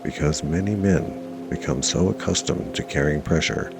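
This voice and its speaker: A man with an extremely deep, creaky voice guides a meditation over clearly audible music. He speaks slowly in short, measured phrases with long pauses, reassuring the listener as he goes. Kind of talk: guided meditation narration, self-hypnosis.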